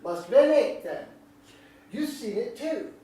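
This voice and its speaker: A man's voice: very high voice, animated, takes it slowly, mellow, full character voice. A man playing a plain-spoken farmer in a staged play reading. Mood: reminiscing. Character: plain-spoken male farmer reminiscing with neighbor. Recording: very echoey room from far off.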